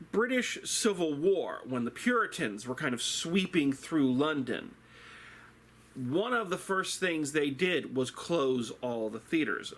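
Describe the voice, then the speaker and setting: Male theatre teacher, slightly higher-pitched voice, unhurried and theatrical, delivering a dramatic lecture like a storyteller. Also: fairly bright tone. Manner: theatrical, deliberate, rhetorical questions.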